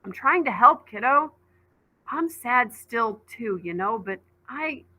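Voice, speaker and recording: A woman voicing a concerned, gentle but exasperated father in a children's storybook, scolding mildly with wry fondness. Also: on the high side, animated, slow, pitched above the performer's own voice, full character voice. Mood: gentle, hurt.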